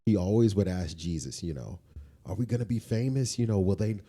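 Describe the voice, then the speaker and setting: A man's voice: low voice, lively intonation, a little fast, dark tone. A man talking casually as a podcast co-host, informal and slangy, often repeating phrases for emphasis. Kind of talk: casual conversational talk.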